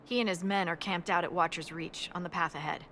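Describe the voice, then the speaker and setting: A woman's voice: on the low side, fairly even intonation, a little fast, fairly bright tone, a little hiss or hum, lightly acted. A woman voicing a friendly, informal army scout in a video game, giving briefings conversationally. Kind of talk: video game dialogue acting.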